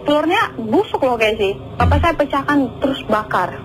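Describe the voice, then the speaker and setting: A woman's voice: sits high, lively intonation, normal speed. Woman, Indonesian accent, caller, earnest, repetitive, phone line, music underneath. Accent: Indonesian, Medan. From Medan, Indonesia. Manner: earnest, repetitive, often addresses the host. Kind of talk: phone-in storytelling.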